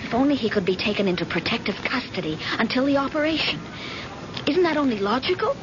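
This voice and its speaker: Through heavy noise on a dull old radio recording comes a devoted, worried daughter. She is earnest and pleading, pressing her points in a fairly high voice, in the heightened manner of vintage radio drama. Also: animated, fast talker. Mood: pleading, insistent. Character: anxious devoted grown daughter in a crime thriller.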